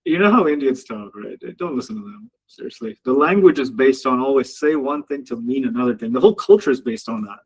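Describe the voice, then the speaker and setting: A man's voice: mid-range voice, lively intonation, fairly quick, hardly any pauses, husky, poor audio. A man hosting a live trading session from Dubai, chatting casually, joking with viewers in the chat and laughing often. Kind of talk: casual live-stream commentary. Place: home office in Dubai.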